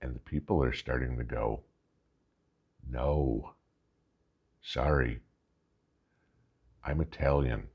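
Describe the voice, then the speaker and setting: An American man hosting a vlog with casual political commentary, talking in a folksy, emphatic, halting way and posing rhetorical questions. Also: rumbling low, vocal fry, slightly rough, highs cut off. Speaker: host of a preparedness vlog.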